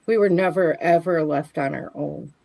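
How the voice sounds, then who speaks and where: A woman's voice: deep voice, normal speed, creaky, dark tone. A middle-aged woman co-hosting a livestream in casual conversation. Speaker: livestream co-host. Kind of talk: casual chat.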